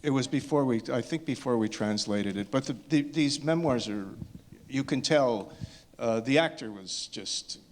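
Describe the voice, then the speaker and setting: Man, medium pitch, documentary maker on a discussion panel. Halting delivery, circles back over his own words. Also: slight background noise. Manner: halting, repeats words, thinking aloud. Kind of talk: post-screening question and answer talk.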